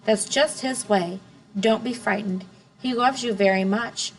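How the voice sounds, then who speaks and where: A woman's voice: medium pitch, measured pace, lightly acted, rough recording. Woman reading a soothing, weary mother. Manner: gentle, soothing, pleading.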